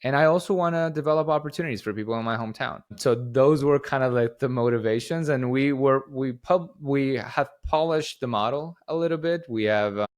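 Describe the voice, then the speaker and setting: A man's voice: medium pitch, a little fast, hardly any pauses. A young man from Guatemala, the chief executive of a company, giving long, rambling interview answers with lots of fillers and restarts. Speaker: company CEO, podcast guest.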